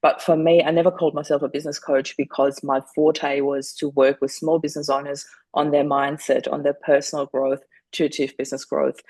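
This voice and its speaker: A middle-aged woman speaks in a gravelly, fry-laden voice. Her delivery stays flat and level as she talks earnestly, restarting her sentences now and then. The sound is dry and close, with no room around it. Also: low voice, no echo. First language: German. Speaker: guest. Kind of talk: candid interview conversation.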